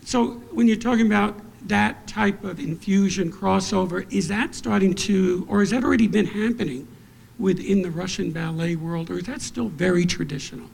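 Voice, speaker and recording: Husky, gravelly man moderating a panel. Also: high-pitched, normal speed, a little mellow. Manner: inquisitive, hesitant restarts.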